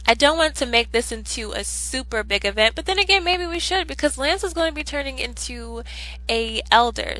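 A woman's voice: on the high side, pushed and bright, poor audio. A woman chatting casually through a life-sim game playthrough, upbeat and rambling with fillers, her throat slightly ticklish from a coming cold. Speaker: let's play host. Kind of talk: casual gameplay commentary.

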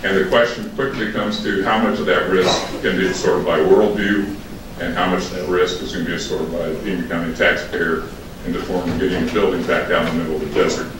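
A retired older man giving a measured, businesslike public comment at a county board meeting. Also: low voice, fairly even intonation. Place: large council chamber. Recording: echoey hall from far off, noisy.

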